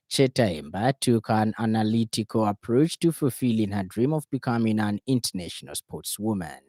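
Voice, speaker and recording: A man with a Zambian accent presents radio news from a studio. His voice sits fairly low and is warm and relaxed, with a dry, close sound. He reads his script formally, in measured phrases. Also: dry recording.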